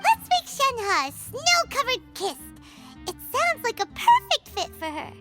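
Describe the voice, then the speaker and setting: A tiny fairy sidekick chatters away in a squeaky, very high voice that leaps all over the place. She is bubbly, fussy and excitable, and talks about herself in the third person. Game music plays clearly behind her. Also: measured pace, exaggerated voice. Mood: chatty. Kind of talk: game cutscene voice acting. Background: background game music.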